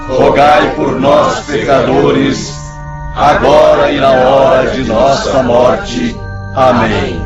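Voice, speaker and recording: A devout man prays in a Brazilian accent, reverent and extremely slow. Very loud music swells around his slightly dull voice. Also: mid-range voice. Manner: reverent, solemn. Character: devout male medium reciting prayer. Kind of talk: slow prayer recitation in radio drama.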